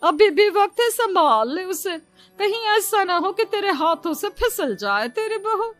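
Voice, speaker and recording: A woman's voice: very high-pitched, sing-song, unhurried, a bit bright, faint music behind, full character voice. A woman playing a gossipy middle-aged neighbour in a dramatized moral story, judgmental, preachy and insinuating, drawing out her words. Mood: scornful warning.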